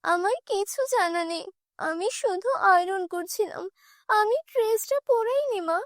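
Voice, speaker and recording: A woman voicing a gentle, dreamy, mistreated young stepdaughter in a cartoon fairy tale, with a meek, pleading way of talking. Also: extremely high pitch, a little slow, dry recording, clean studio sound.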